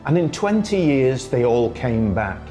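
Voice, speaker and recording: A man reciting a children's poem as a storyteller, in a theatrical, singsong way with drawn-out phrasing. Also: medium pitch, big pitch swings, mellow, soft background music. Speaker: storyteller, radio presenter. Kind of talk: expressive children's poetry recitation.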